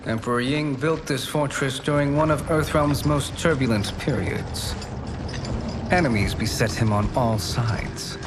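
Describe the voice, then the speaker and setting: A man playing a smooth-talking, calculating villain in a video game cutscene, formal and self-assured. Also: mid-range voice, measured pace, over music, close to an everyday voice. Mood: assured explaining.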